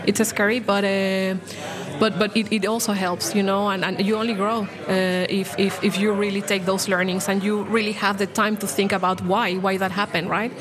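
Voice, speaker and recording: Passionate woman guest, crowd murmur behind. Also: mid-range voice. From Latin America. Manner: passionate, many restarts and fillers. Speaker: bank blockchain program director, guest. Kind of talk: podcast interview.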